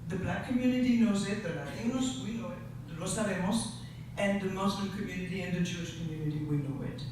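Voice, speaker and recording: A man in his sixties from Europe, a teacher speaking earnestly at a public forum, with passion and halting restarts. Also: high-pitched. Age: 60s. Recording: echoey room, distant mic, noisy.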